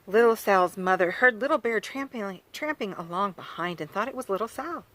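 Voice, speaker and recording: A woman reads a children's storybook aloud with a lively, rising and falling voice. She talks gently to young listeners, pausing to point out the pictures as she goes. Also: mid-range voice, slight background noise, low-quality recording. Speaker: children's author reading aloud. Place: farm.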